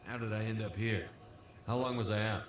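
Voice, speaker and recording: An actor playing a dutiful, stoic military commander in a video game, controlled and serious. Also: male voice, on the low side, steady tone, a little fast, close to an everyday voice. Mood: questioning. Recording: game audio replayed, roomy and noisy.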